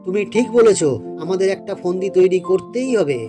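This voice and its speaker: A man playing a kindly, grateful villager who speaks in an earnest, friendly way in a children's animated folk tale. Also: sits high, a little fast, music in the background, close to an everyday voice. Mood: welcoming, helpful.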